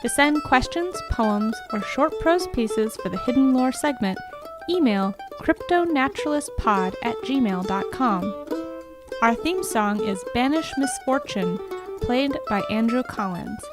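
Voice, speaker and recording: A woman with a slightly high voice reads a scripted podcast outro as the announcer. Plucked mandolin music plays clearly behind her. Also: expressive, measured pace. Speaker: credits announcer. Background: plucked mandolin theme music.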